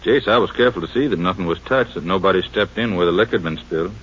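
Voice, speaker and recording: Plain-spoken Texas sheriff, 1950s radio drama. Lowish, laconic voice, muffled recording with slight noise. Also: male voice, rapid-fire, fairly bright tone. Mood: wary, plain. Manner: folksy, laconic.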